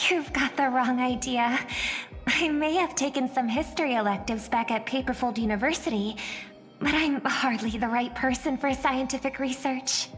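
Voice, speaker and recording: Gentle polite young woman from a game, high voice, big reverb, faint music. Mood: friendly. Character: gentle, gracious young female star singer. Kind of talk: scripted game character dialogue.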